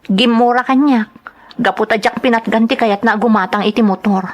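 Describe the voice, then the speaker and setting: A woman's voice: a little high, fairly even intonation, moderate pace, lightly acted. A woman with a Filipino accent playing a troubled mother working abroad in an online audio drama. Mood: hurt.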